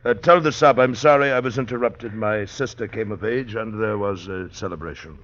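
An actor playing a hesitant, courteous, mild Sikh scholar educated at Cambridge, in old-time radio drama style. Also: male voice, fairly low pitch, a little fast, a bit bright, natural voice. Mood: polite, apologetic. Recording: muffled old radio recording.

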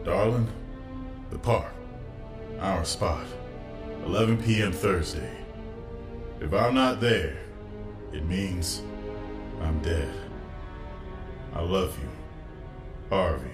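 Guy doing a slow smooth villain lawyer, theatrical, radio play, music behind him. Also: sits low, expressive. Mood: grave, tender. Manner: deliberate, theatrical. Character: menacing, smooth-talking rogue district attorney turned crime boss. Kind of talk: audio drama acting.

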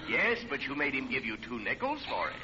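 A man's voice: medium pitch, sing-song, over a noisy crowd, lightly acted. Man playing somebody's pesky conscience, swoopy theatrical scolding, hissy old radio show. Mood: needling. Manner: drawn-out calls, theatrical, chiding. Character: man's nagging, needling conscience, a spirit voice. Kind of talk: 1940s radio comedy acting. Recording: noisy, muffled old radio recording.

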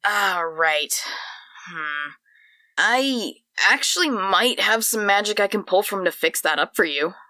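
Bossy little leafy creature, sharp bright voice, slow impatient snipes, comic fantasy audio drama. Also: female voice, medium pitch, animated, no echo. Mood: grudging. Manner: snippy, impatient, boastful. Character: small leafy plant creature, grumpy, bossy magic companion. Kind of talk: comedic audio drama acting.

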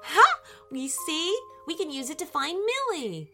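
A woman's voice: extremely high pitch, sing-song, unhurried, sharp tone, soft background music, voice pushed up, exaggerated voice. A woman voicing an eager, kind little boy explorer in a children's story, with an earnest, chirpy manner. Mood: excited.

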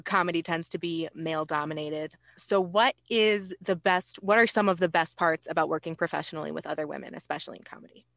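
A woman co-hosting a podcast, asking measured interview questions over a video call. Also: low voice, gravelly, a bit bright, no echo. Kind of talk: casual interview chat.

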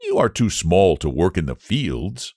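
A man's voice: low-pitched, sing-song, unhurried, a little mellow, breathy edge, no echo, clean studio sound, exaggerated voice. A man voicing a kind, genial, wealthy country squire in a storybook reading. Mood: kindly. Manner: kindly, genial.

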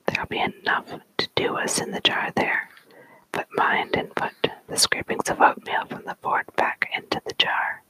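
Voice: moderate pace, bright, forceful tone, no echo, close to an everyday voice, rough recording. Storyteller whispering as a sly little fairy man. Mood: bossy.

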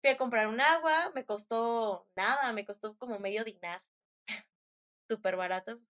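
Young woman, Mexican accent, slightly high pitch, casual storytelling, informal slang, chatty. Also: measured pace. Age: young adult. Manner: chatty, informal, slangy, animated retelling with quoted dialogue.

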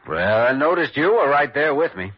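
A man's voice: fairly high pitch, sing-song, moderate pace. A man playing an earnest, stubborn young doctor in an old-time radio drama, plainspoken and firm. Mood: earnest, weary. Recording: muffled vintage radio broadcast.

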